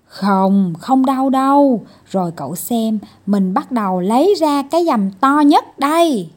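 A woman's voice: high-pitched, lively intonation, measured pace, darkish tone. A woman voicing a tiny male doctor in a children's tale, patient and coaxing but mildly exasperated. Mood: coaxing, reassuring. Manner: coaxing and scolding.

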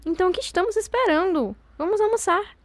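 Woman acting a high-voiced, falsely sweet bride. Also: animated, fast talker, no echo. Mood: falsely cheerful. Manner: elegant, teasing false sweetness. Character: proud young bride, falsely sweet and mockingly ironic. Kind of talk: radio drama acting.

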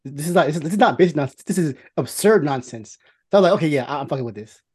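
A man's voice: fairly high pitch, expressive, rapid-fire, a little mellow, slightly rough. A middle-aged man from New York chatting casually as a podcast co-host, rambling, repeating words and swearing freely. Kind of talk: casual film chat.

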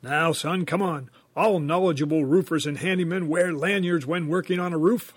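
A man's voice: on the high side, fairly even intonation, moderate pace, slightly rough, no room sound. A man playing an earnest, bumbling handyman dad in a comedy radio sketch, sheepish and hesitant when explaining himself. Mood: upbeat, earnest.

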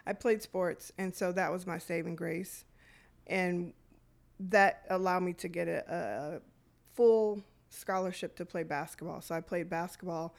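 A middle-aged woman from Detroit speaks as a podcast guest, her voice low and gravelly. She tells her own story in a reflective way, pausing often on fillers. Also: steady tone. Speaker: guest, youth programs director. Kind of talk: podcast interview, personal story.

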